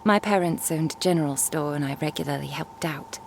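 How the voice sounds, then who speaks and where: A woman playing a curious, composed traveling merchant and master in an ASMR roleplay, speaking in a measured, formal, inquisitive way. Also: deep voice, vocal fry, slight background noise. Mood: composed, probing. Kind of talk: ASMR roleplay audio drama.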